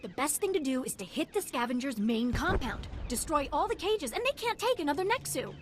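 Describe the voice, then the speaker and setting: A woman's voice: high-pitched, big pitch swings, fairly quick, a little hiss or hum. A woman playing a zealous, earnest wildlife activist in a video game, gushing about her cause. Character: zealous female wildlife activist devoted to predators. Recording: game music underneath.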